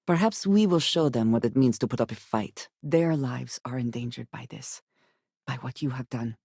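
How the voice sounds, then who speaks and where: Low, guarded, formal game adventurer, dry close sound. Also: female voice, creaky, mellow, breathy edge, dry recording, exaggerated voice. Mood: stern, reproachful. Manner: formal, measured diction with few contractions. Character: guarded, formal, intense young woman space adventurer companion. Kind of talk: video game character dialogue.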